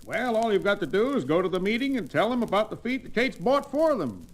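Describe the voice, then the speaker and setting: A man's voice: high voice, big pitch swings, fairly quick. A man acting a droll, wordy sidekick in an old radio western, with a wry, theatrical manner. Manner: theatrical, wry, mock-literary. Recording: noisy old radio drama recording.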